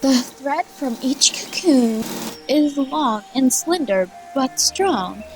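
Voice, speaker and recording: Woman acting a curious, high-voiced gracious empress. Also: expressive, slow pace, darkish tone. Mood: wondering, eager. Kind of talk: audio play acting. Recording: faint music behind the voice.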